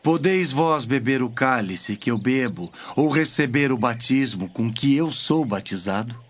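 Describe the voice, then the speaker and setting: An actor with a Brazilian accent playing a solemn, authoritative male teacher in a measured, declamatory scripture drama. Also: medium pitch, animated. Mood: calm authority. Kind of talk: dramatized scripture reading in formal Portuguese. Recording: music bed behind the voice.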